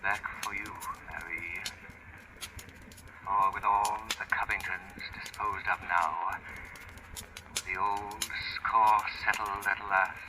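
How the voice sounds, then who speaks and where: A man playing a shrewd, mocking, sinister accuser in a vintage radio drama, speaking in a suave, deliberate, taunting way. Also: lowish voice, steady tone. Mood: sinister seductive. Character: shrewd, mocking male accuser, secretly a sinister returned spirit. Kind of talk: 1940s-50s radio drama acting. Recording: noisy, crackly vintage radio recording.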